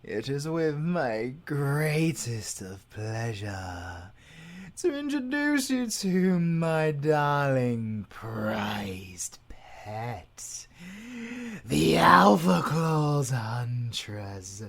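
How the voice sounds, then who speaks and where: A British man playing a suave, possessive werewolf villain in an audio roleplay, grandiose and mock-gentlemanly, moving between hushed murmurs and cries. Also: medium pitch, big pitch swings, very slow, vocal fry, exaggerated voice. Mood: proud, ceremonious.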